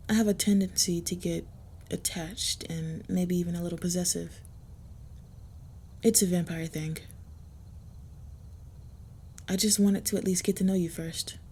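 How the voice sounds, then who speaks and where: A woman playing a guarded, gentle, possessive vampire in an intimate roleplay audio, soft and hushed, with a hesitant, measured delivery. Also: fairly low pitch, a little hiss or hum, natural voice. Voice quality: soft, husky, breathy. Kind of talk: intimate roleplay audio with first-person narration.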